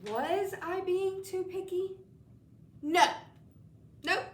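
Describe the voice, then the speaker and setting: A woman reading a children's story aloud with expressive narration. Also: very high-pitched, extremely slow. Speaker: storybook reader. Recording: roomy, distant, slightly noisy.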